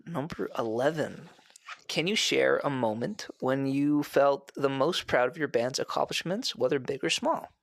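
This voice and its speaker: A man's voice: mid-range voice, moderate pace, creaky, dry recording. A man hosting a casual podcast interview, asking questions and giving short encouraging replies. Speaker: podcast host.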